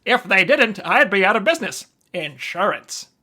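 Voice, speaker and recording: An actor playing a wry 1940s insurance investigator in an old-time radio detective drama, with clipped hardboiled patter. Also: male voice, high voice, sing-song, moderate pace, bright, forceful tone, full character voice.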